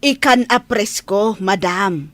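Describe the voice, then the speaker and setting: A woman's voice: medium pitch, lively intonation, takes it slowly, dry recording. A woman with an Ilocano accent playing a polite but wary fish vendor in a radio drama. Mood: polite, evasive.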